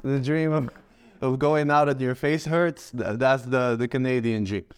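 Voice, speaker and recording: Male guest, 30s, from Morocco, casual and rambling, mid-pitched. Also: moderate pace, clean tone. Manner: relaxed, rambling, frequent fillers and restarts. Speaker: guest, forex trader. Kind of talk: casual interview answers.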